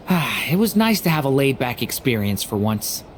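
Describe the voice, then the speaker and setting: Low-voiced, easygoing game adventurer. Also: female voice, unhurried, a little hiss or hum, heavily acted. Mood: content, relaxed. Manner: relaxed, conversational. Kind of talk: English video game dub acting.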